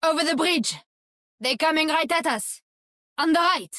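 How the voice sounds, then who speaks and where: A woman's voice: high voice, a little slow, bright, forceful tone, no echo, studio quality. A woman playing a cold, stern hunter defending a gate in a video game, dramatic and commanding. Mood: urgent, commanding.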